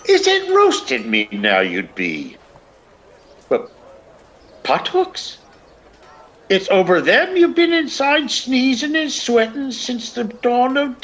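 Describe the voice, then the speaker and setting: An actor playing a shabby, sharp-tongued old Irish beggar in a radio play, with a mocking, flowery, theatrical manner. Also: male voice, very high-pitched, huge pitch swings, takes it slowly, long pauses, muffled recording, heavily acted. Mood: indignant. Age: older. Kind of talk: radio play acting of rural Irish dialect.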